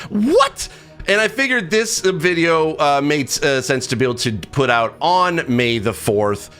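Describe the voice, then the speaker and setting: A man hosting a casual vlog, talking in an excitable, emphatic way and often interrupting himself. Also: medium pitch, clean tone. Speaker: video game accessibility reviewer and vlog host. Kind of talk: casual personal vlog talk to camera.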